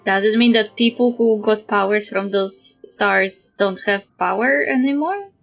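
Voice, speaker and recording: A woman's voice: medium pitch, a little slow, gravelly, soft background music. A woman livestreamer reading game dialogue aloud and reacting to it. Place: livestream over game audio.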